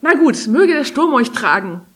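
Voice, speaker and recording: Casting: young male ice dragon played by a female reader, boastful, pompous, easily offended, higher-pitched and emphatic, fantasy audiobook. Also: very animated, normal speed. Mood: solemn. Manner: indignant, pompous declarations.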